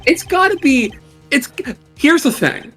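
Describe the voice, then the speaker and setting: A streamer giving casual joking commentary while playing a game, wisecracking and animated. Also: high-pitched, faint music behind. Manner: wisecracking, animated, slips into quick asides.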